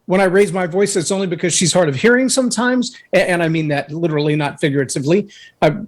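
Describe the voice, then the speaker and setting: A man guesting on a talk show, telling a personal story in an earnest, anecdotal way, with a voice that can boom when he raises it. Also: quick pace. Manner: earnest, anecdotal, acts out others' words. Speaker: guest speaker.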